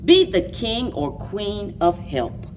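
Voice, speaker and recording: A woman leads a story time for young children in an expressive, very slow delivery. Her voice sits a little low but swings widely in pitch. She is picked up from some way off the microphone. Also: distant mic, slight background noise. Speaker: library story time host. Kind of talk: children's picture book read-aloud and story time.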